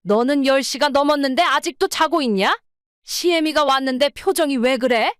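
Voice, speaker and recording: A woman's voice: sits high, normal speed, fairly bright tone, no room sound, clean studio sound, pitched above the performer's own voice. A woman voicing a scornful older mother-in-law who scolds with accusing questions in a dramatized story. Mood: scornful nagging.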